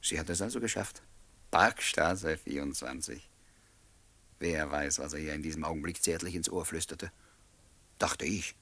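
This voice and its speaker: An actor playing a wry, resigned narrator of his own story in a German radio play, husky and measured. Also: rumbling low, sharp tone, heavily acted. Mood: wry, reflective. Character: wry, resigned male first-person narrator.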